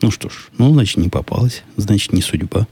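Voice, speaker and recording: A man with a deep voice and a dark, husky tone hosts a solo podcast and answers listener questions in a loose, musing way. He sounds skeptical and thinks out loud, breaking off and restarting his sentences. The sound is dry and close, with a gravelly texture. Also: lively intonation, fairly quick, no echo. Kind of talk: casual solo podcast monologue.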